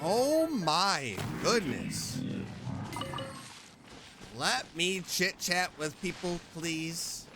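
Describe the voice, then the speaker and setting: A man's voice: high-pitched, expressive, drawn-out words, pauses often. A man streaming a video game with casual, self-directed, exclamatory commentary. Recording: game music behind.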